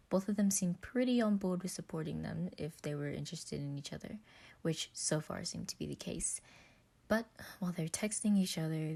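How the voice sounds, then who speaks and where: A woman's voice: fairly low pitch, creaky, warm tone. A woman reading a scripted story aloud as narrator. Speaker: podfic reader.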